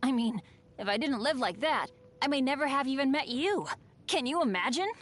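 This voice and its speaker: An eager teenage boy adventurer from a video game, with a high, boyish voice. He talks casually and earnestly, swinging freely up and down in pitch, humble and cheerful about his rotten luck. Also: animated, fairly quick. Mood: eager, hopeful. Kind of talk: video game character voice lines.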